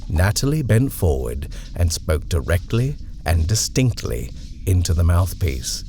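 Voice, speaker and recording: Deep-voiced man narrating a horror tale. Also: big pitch swings, measured pace, warm tone, slight background noise.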